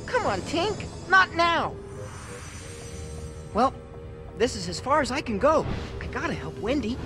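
A man's voice: extremely high pitch, very animated, measured pace, music in the background, full character voice. An actor voicing a cocky flying boy hero in a game cutscene, eager and urgent.

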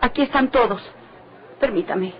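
A woman's voice: on the high side, moderate pace, close to an everyday voice. Anxious, polite Mexican woman acted, muffled old radio. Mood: obliging. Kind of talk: golden-age Mexican radio drama acting. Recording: dull vintage radio recording.

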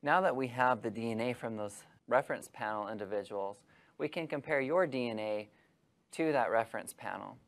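Man explaining with a gravelly edge. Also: medium pitch, normal speed. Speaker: genetics scientist presenting. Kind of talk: scripted explainer. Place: studio set.

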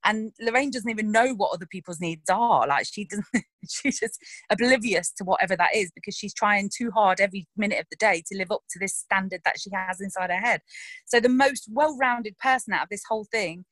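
An actress talks through her characters in a loose, frank interview, animated and quick to laugh or swear. Her voice sits mid-range for a woman and sounds dry and close, with no room around it. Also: medium pitch, no echo. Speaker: actress discussing her roles. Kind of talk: casual interview chat.

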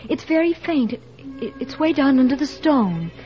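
A woman playing an earnest, adoring teenage niece in 1940s radio drama style, with halting, intense phrasing. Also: high voice, big pitch swings, faint music behind. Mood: puzzled, reassuring. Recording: muffled, hissy 1940s radio recording.

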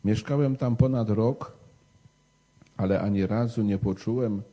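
A disgruntled male traveller out of a storybook, played by a man. He complains as he explains himself. The voice is dark and a little rough, and the pace is unhurried. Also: on the low side. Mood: bitter. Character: disgruntled male stranger who moved from the city.

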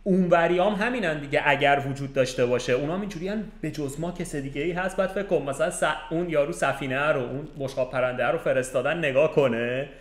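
A man's voice: on the high side, few pauses. A man hosting a podcast in a casual, chatty conversational style. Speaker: podcast host. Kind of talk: casual chat. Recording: echoey, roomy sound.

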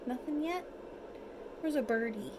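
A woman's voice: high-pitched, a little slow, background noise. A woman reads a picture book aloud to a toddler in an encouraging, sing-song way, as a mother does. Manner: sing-song, encouraging child-directed speech. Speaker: mother reading a bedtime story. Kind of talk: read-aloud storytelling to a toddler. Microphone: phone at arm's length.

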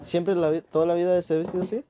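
A man's voice: high voice, flat delivery, fast talker, mellow, a little hiss or hum. A young man hosting, asking curious questions and mixing English and Spanish casually. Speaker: shop host. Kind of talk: casual interview. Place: indoor shop lounge.

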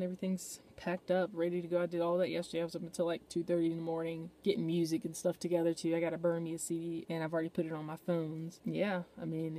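Young woman, travel vlogger, low mellow voice, flat easy delivery, faint road noise behind. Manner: relaxed, chatty, rambling with fillers. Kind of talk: casual travel vlog. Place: inside a parked van, later outdoors by the road.

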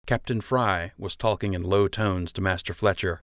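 A man's voice: deep voice, steady tone, moderate pace, a bit bright, dry recording, clean studio sound. A man narrating scripted fiction as an audiobook reader.

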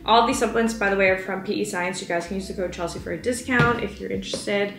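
A woman's voice: medium pitch, fast talker, vocal fry. Upbeat young woman vlogging in her kitchen, roomy sound, music under it, says like a lot. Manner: chatty, upbeat, lots of "like" fillers. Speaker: fitness vlogger. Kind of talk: casual vlog chat to camera. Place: home kitchen and living room. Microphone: camera on counter, speaking from a few feet away.

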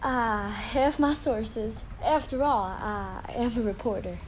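High-voiced young Southern lady reporter. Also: takes it slowly, slight background noise, rough recording. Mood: polite, coy. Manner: polite, genteel. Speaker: actor. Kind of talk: audio play dialogue.

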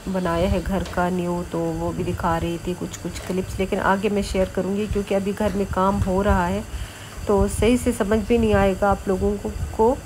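A middle-aged woman, chatting through a vlog voice-over with a sore, hoarse throat, coughing now and then and pausing often. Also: medium pitch, level tone, background noise. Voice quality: coughs between phrases. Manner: chatty, explanatory, with many pauses and fillers. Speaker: vlogger.

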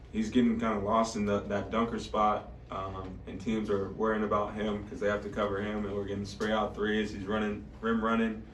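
A man in his twenties, a college athlete answering post-game press questions in a halting way. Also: lowish voice. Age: 20s. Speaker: college basketball player. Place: indoor press room. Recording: echoey room, distant mic, faint noise.

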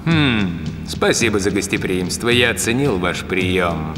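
A man's voice: sits low, lively intonation, measured pace, music in the background. A man voicing a bold, commanding dog musketeer in a dubbed cartoon. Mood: lordly.

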